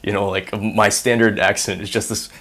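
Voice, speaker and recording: A man's voice: fairly low pitch, little pitch movement, normal speed, a bit bright. Young man with Singaporean-American accent. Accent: mix of American and Singaporean. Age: 20s. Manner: rambling, filler-heavy, self-deprecating humor. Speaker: university student. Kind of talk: casual on-camera interview monologue.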